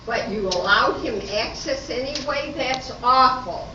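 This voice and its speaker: A woman's voice: on the high side, lively intonation, measured pace, background noise. A woman playing a fretful, dramatic housemate in a comic amateur radio play. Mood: scolding. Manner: exclaiming, theatrical. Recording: echoey, distant, dull, with loud crowd.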